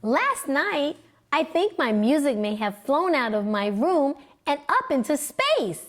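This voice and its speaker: An excited little boy with a high, bright voice, eager and full of wonder, as a woman reading aloud puts him on. His lines jump up in pitch and swing widely. He is a storybook child who is always keen to go on an adventure. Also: unhurried. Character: eager curious young boy.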